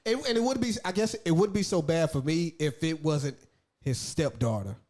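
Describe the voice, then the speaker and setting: A slangy man co-hosting a casual podcast, speaking in animated back-and-forth banter. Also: fairly high pitch, moderate pace. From northern United States. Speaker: podcast co-host.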